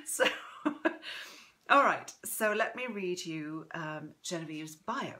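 A woman's voice: lowish voice, animated, drawn-out words, sharp tone. A woman in her forties, an author hosting a live reading from home, chatting effusively with a slightly throaty, strained voice and greeting viewers by name. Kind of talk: casual livestream chat. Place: home room, indoors. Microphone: phone propped in front of her.

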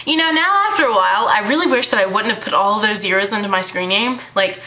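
A teenage girl vlogging to her webcam in her bedroom, talking fast and hyper in a childlike baby voice, full of fillers. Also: mid-range voice, lively intonation, pushed and bright, poor audio. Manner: bubbly, hyper, chatty with many fillers. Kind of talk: casual chat to camera. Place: small bedroom indoors. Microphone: webcam at arm's length.

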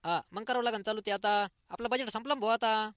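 An eager, hurried cartoon villager played by a man with a rural Maharashtrian accent. He talks fast and urgently in a very high, bright voice, recorded close and dry. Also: no echo. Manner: urgent, colloquial. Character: eager, hurried poor villager awaiting his housing grant. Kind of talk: comic cartoon dialogue.

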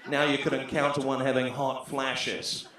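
A man in his thirties with a New Zealand accent doing a brash, sweary stand-up set into a handheld mic, shouting his punchlines. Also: mid-range voice, little pitch movement, moderate pace. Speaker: stand-up comedian. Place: comedy club stage, brick wall. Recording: echoey club room.

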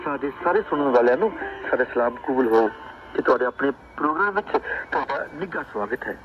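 A man's voice: medium pitch, animated, a little fast, faint music behind. A man presenting a scripted religious program, heard through a radio. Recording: dull shortwave radio broadcast.

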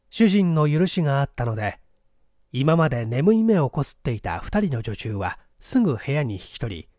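A man narrating a mystery novel as an audiobook, in a measured storytelling manner. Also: on the high side, warm tone, dry recording, studio quality. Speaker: audiobook narrator, small-theatre stage actor. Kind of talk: scripted narration, mystery novel read aloud.

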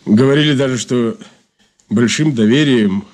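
An actor in a Soviet radio drama playing a wry, folksy informant who talks colloquially, with halting fillers. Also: male voice, mid-range voice, a little mellow, natural voice. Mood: chatty recounting. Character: male ex-Makhnovist informant, folksy, wry and laconic.

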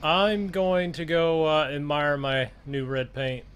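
A man giving casual gameplay commentary in a conversational, unhurried way with trailing pauses. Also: a little high, level tone, a bit bright, clear, resonant tone. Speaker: gaming video host.

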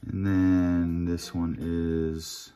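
A man opening packs of baseball cards at a home table, reading off player names one by one in a relaxed, murmuring commentary. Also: deep voice, drawn-out words, faint music behind. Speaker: card collector opening packs. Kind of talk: casual pack-opening commentary. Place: home table with card collection display.

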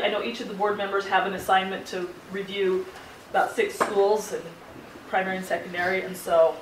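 A woman's voice: medium pitch, a bit bright. An American woman informally running a board meeting as its chair. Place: indoor public board meeting room. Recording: echoey room, distant mic, faint noise.

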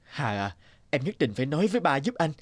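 A man's voice: a little high, sing-song, fast talker. A man playing an earnest, anxious young employee in a cartoon drama, speaking in a pleading way. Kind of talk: dubbed cartoon drama acting.

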